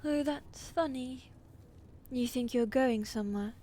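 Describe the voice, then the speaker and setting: Woman acting high-voiced imperious medieval queen. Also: measured pace. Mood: menacing. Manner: imperious, threatening, softening into tenderness. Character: fierce commanding young evil queen, tender toward her husband. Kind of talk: medieval fantasy ASMR audio roleplay.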